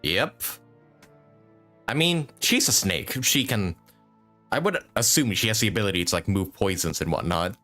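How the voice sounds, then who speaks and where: A man giving casual live commentary as a game streamer, joking and quick to laugh. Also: fairly low pitch, animated, bright, forceful tone. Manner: jokey, laughs easily, sweary. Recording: stream with game music behind.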